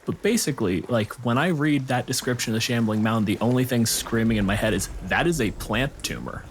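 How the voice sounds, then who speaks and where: A man's voice: medium pitch, fairly quick. A man co-hosting a talk show, explaining things in casual conversation. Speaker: podcast co-host. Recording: clear background noise.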